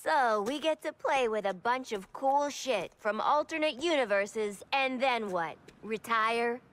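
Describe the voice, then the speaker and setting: Bright, mischievous villainess. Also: female voice, a little high, expressive, unhurried. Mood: flippant. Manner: playful, sardonic, irreverent. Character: mischievous, flippant young female supervillain. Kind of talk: video game character acting.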